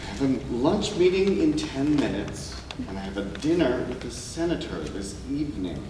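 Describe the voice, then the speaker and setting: A man's voice: medium pitch, big pitch swings, close to an everyday voice. A man playing a pompous, controlling, exasperated husband in a staged reading. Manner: domineering, deliberate. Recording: very echoey room, distant mic, clear noise.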